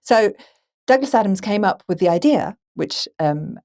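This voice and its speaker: A woman hosting a conversational film podcast, chatty and animated in the way she tells things. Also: medium pitch, normal speed. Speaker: podcast host and moderator.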